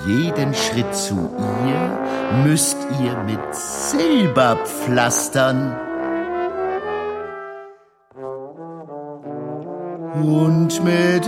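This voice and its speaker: An indignant agitator, proclaims to the oppressed with extremely slow, drawn-out words. His pitch swoops widely in theatrical declamation. The voice rings in a long reverb as part of an experimental radio play. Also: full character voice. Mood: accusing. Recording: long hall reverb, loud music. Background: loud trombone and brass music.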